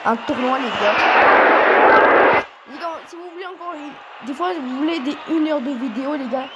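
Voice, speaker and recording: A young man from Portugal commentating his own football quiz game, excitable and prone to shouting and swearing at opponents. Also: extremely high pitch, a little slow, noisy background. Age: teens. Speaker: gaming youtuber.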